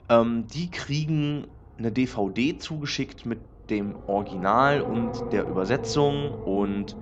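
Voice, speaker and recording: Slightly hoarse, chatty man. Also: fairly low pitch. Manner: chatty, rambling, many filler sounds. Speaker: let's play host. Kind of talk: casual gaming commentary. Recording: dull lo-fi mic with faint noise.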